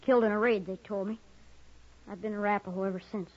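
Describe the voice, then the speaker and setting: A woman's voice: medium pitch, steady tone, quick pace, natural voice. A woman playing a proud, wary, stoic captive boy in an old time western radio drama. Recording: muffled old radio recording.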